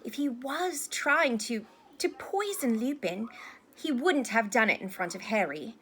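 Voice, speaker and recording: A woman's voice: mid-range voice, sing-song, normal speed, slightly rough. A woman voicing an earnest, sensible teenage schoolgirl in a storybook, anxious and concerned. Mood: hushed worry. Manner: hesitant, concerned. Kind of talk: storybook character voice.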